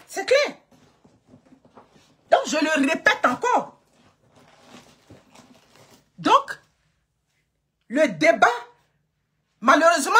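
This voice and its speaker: Emphatic high-voiced lecturing woman. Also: pushed and bright. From Côte d'Ivoire. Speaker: online commentator. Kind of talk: political monologue. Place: home in Canada.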